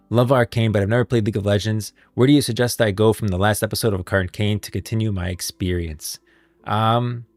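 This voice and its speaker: A man's voice: on the low side, gravelly, dry recording. A man hosting a casual livestream, talking about game lore in an enthusiastic, emphatic way. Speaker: livestream host and video creator.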